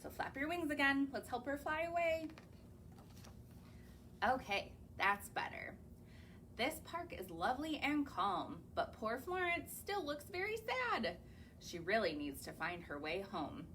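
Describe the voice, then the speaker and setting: A woman's voice: high-pitched, big pitch swings, a bit bright, a little hiss or hum. A young woman, a children's librarian, reading picture books aloud to small children and coaxing them with questions. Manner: sing-song, slow, coaxing, asks the children questions. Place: library or home, recorded on camera.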